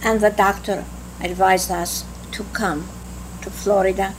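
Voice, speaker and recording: An elderly woman from Greece with a Greek accent, slowly recalling her life in an oral history interview, with halting restarts. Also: medium pitch, level tone. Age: older. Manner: slow, halting recollection with restarts. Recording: noisy tape with hum.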